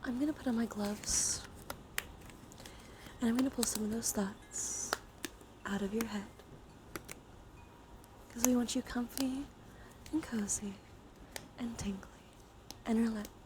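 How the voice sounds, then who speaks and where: Soft whispery woman doing ASMR, noisy background. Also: on the high side, measured pace, long pauses. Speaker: live streamer. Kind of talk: ASMR, soft chatty livestream talk. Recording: clear steady background noise.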